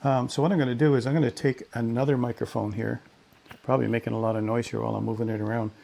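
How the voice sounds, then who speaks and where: A man's voice: medium pitch, little pitch movement, rapid-fire, creaky, darkish tone. A man giving a chatty, explanatory hands-on demonstration in a casual style, speaking into a headset or lapel mic. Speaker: product demonstrator, pilot. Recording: faint background noise, headset and lapel mics.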